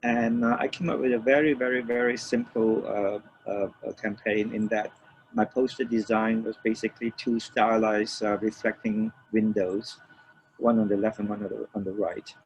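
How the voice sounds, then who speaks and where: An older man from Malaysia, reminiscing as an interview guest in a halting way, repeating phrases as he explains. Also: fairly low pitch, level tone. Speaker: interview guest, record producer. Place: room with shelves of DVDs, on a remote call. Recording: dull lo-fi call, faint noise.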